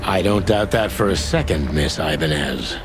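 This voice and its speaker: A man playing a world-weary rooster private detective in a film-noir video game, speaking in a measured, probing, wry hardboiled way with a gravelly voice. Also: sits low, lively intonation, over music. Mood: wry, pressing.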